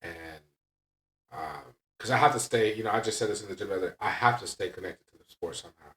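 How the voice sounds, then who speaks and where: A man with a fairly low voice speaks off the mic in an echoey room. He talks like a podcast host and coach, candid and casual. His delivery is blunt and emphatic, with frequent little checks for agreement. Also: fast talker, fairly bright tone, far from the mic.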